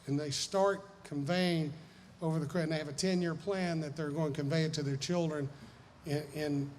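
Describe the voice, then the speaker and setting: A man with a Southern American accent giving an informal, folksy, explanatory seminar talk as a lawyer. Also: a little high. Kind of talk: informal lecture with audience questions. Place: large hall, speaking to an audience. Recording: echoey hall, noisy background.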